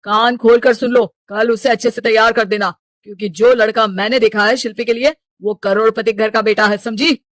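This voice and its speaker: A man's voice: high voice, steady tone, a little fast, fairly bright tone, dry recording. A man playing a stern, money-minded middle-aged father in a story, domineering and scolding. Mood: angry.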